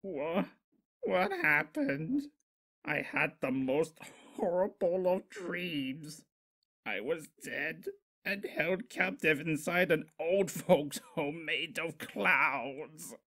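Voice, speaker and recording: A man's voice: fairly high pitch, animated, extremely slow, sharp tone, pitched above the performer's own voice, full character voice. A man voicing a theatrical, irritable male spirit of chaos in a comic storybook reading. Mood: dazed, alarmed. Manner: theatrical, irritable, swinging to wonder. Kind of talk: comedic storybook character voice.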